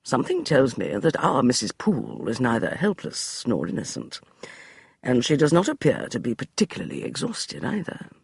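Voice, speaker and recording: A man voicing a wry, amused gentleman with a dry manner of speech. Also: mid-range voice, wildly swooping pitch, moderate pace, gravelly, husky, no room sound, exaggerated voice.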